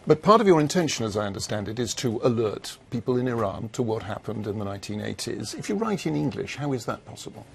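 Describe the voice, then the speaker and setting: A man's voice: medium pitch, sing-song, quick pace, gravelly, few pauses, slight background noise. A man interviewing an author for a news program, asking probing, direct questions.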